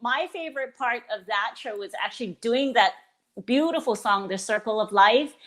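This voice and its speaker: A woman in her forties from the Philippines, a stage performer chatting in an interview. Her voice sits a little high, and she talks warmly and eagerly, often restarting her sentences. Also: lively intonation, moderate pace. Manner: warm and enthusiastic, with frequent restarts. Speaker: guest performer. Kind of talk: casual interview.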